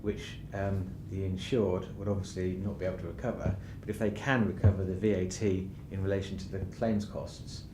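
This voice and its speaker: A man with a very deep, flat, even voice co-presents a conference session, giving plain practical examples. He is heard in an echoey conference room with clear noise behind him. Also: a little fast, hardly any pauses.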